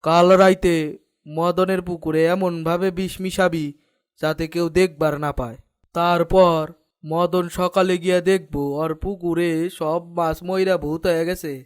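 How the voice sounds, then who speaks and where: A man's voice: high voice, flat delivery, a little slow, clear, resonant tone. A man acting a pompous, vengeful village headman in a cartoon, speaking in a rural Bengali dialect with a threatening manner. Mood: scheming. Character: arrogant, vengeful village headman plotting revenge.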